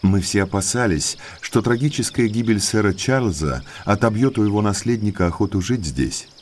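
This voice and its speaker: Low-voiced, slyly affable naturalist, played by a man. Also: animated, normal speed, a little mellow, slight background noise, natural voice. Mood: probing, amused. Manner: courteous, talkative, insinuatingly polite. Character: affable, inquisitive country naturalist gentleman, thirties, slyly probing. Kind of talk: audio drama dialogue.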